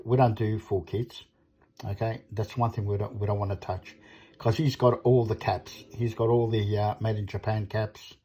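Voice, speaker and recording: Husky Australian man, casual product talk. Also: medium pitch, a little mellow. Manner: rambling, blunt, repeats tag questions like okay and all right. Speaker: small business owner presenting products.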